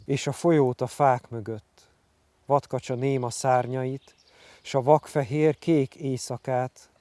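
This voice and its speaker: A man's voice: mid-range voice, steady tone, measured pace, dark tone, no echo. A man reciting poetry.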